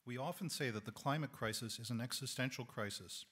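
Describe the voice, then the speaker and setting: A man in his sixties giving a measured, earnest sermon-style talk to a congregation, on a lapel mic in an indoor hall. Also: mid-range voice, fairly bright tone, husky. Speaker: guest speaker.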